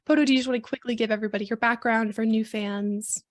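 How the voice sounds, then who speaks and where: Woman podcast host with a higher voice. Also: steady tone, fast talker. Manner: friendly, scripted intro then conversational. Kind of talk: podcast interview.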